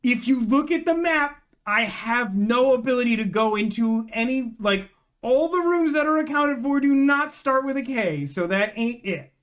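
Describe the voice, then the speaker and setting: A man's voice: very high voice, expressive, moderate pace, few pauses, a bit bright, clear, resonant tone. A man chatting casually on a live game stream, rambling and emphatic, with slangy phrasing.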